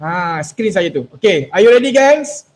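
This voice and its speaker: High-voiced playful man teaching online. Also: sing-song, unhurried. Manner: playful, teasing, slips into English. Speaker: workshop speaker. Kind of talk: lively online workshop talk. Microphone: video call.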